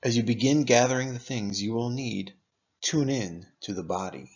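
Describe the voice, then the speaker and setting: A man narrating a scripted guided meditation in a measured, instructive manner, pausing deliberately between phrases. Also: mid-range voice, a little mellow, muffled recording.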